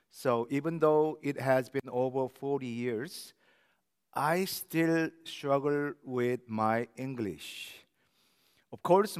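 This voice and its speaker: A man in his sixties with a Korean accent preaches from a church pulpit. He speaks slowly and earnestly in a smooth, resonant voice, and his words carry a long hall reverb. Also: medium pitch. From South Korea. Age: 60s. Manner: slow, careful, earnest delivery. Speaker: pastor. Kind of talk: sermon. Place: church sanctuary.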